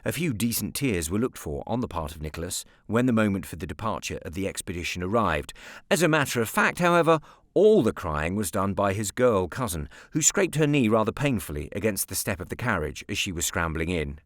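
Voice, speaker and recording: A British man narrating a story as an audiobook reader, expressive, measured and wryly articulate. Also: lowish voice, no room sound, studio quality.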